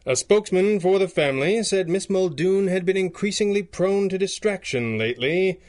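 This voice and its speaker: An actor playing a calm, dry-witted masked crimefighter in a radio drama, with a Canadian accent. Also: male voice, high voice, moderate pace. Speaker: masked hero.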